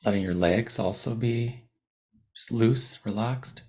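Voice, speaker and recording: A man guiding a body scan meditation in a soothing, unhurried manner, leaving long pauses between short phrases. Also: fairly low pitch, dark tone. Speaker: meditation guide. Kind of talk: guided body scan meditation, unscripted instruction.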